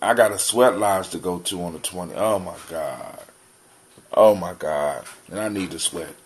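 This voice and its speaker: A man's voice: deep voice, normal speed. Casual American man hosting a call-in show. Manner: folksy, addresses listeners as family. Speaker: host of a live call-in show. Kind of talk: casual live community talk.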